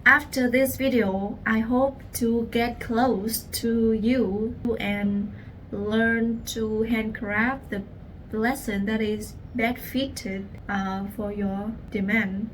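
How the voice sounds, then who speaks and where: A young woman with a Vietnamese accent speaks English at an unhurried, deliberate pace, earnest and addressing the viewer directly. Her voice sits a little away from the microphone. A faint hum of the room stays under it. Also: fairly high pitch, steady tone, recorded from a distance, poor audio. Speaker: language teacher. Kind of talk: personal story to camera.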